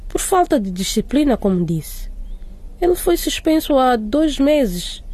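A woman playing a strict, brisk female school principal in a radio drama. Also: high-pitched, big pitch swings, dark tone, husky, full character voice. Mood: firm, formal.

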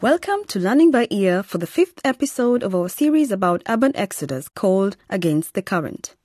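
A woman with a husky voice reading a scripted introduction as a radio series presenter. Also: medium pitch, very animated, brisk, darkish tone, faint music behind. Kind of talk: scripted narration.

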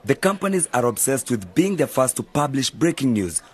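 A man playing a knowledgeable, easygoing mentor in an educational radio drama, explaining things in a measured, articulate way. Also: medium pitch, very animated, breathy edge. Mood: serious, explanatory. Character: knowledgeable, easygoing male media mentor advising young friends.

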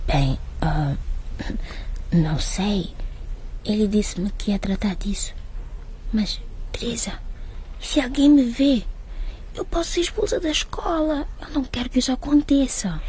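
A woman playing an anxious, hesitant schoolgirl in a radio drama, speaking in a hushed way. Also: on the high side, expressive, unhurried, pauses often, slightly rough, full character voice. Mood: nervous, hesitant. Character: anxious, hesitant schoolgirl unsure about a secret date. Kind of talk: scripted radio drama acting.

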